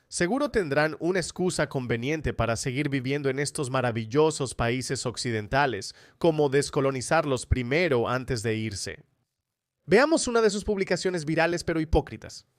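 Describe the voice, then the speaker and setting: A man's voice: mid-range voice, dry recording, clean studio sound. A man presenting a scripted political commentary monologue in a polemical, sardonic manner. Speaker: commentator and host of opinion video.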